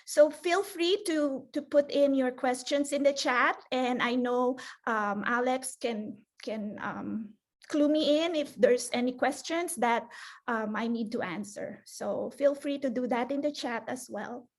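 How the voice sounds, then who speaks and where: A woman's voice: sits high, a little slow. A woman from the Philippines, likely in her forties, giving a conference talk as a librarian, hesitant with many fillers and restarts. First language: Filipino (Tagalog). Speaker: librarian presenter.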